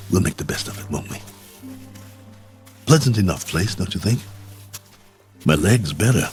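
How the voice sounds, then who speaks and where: An actor playing a stranded space traveler, weary but hopeful, halting and musing in a radio drama. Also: male voice, lowish voice, a little mellow. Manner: halting, musing, talks to himself.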